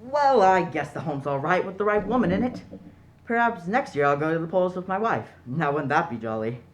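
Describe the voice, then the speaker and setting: Man playing a jolly, foppish young gentleman. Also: high voice, lively intonation, fairly quick. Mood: eager. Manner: jolly, foppish, self-deprecating. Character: genial, naive upper-class young gentleman suitor. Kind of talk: stage-style radio play acting.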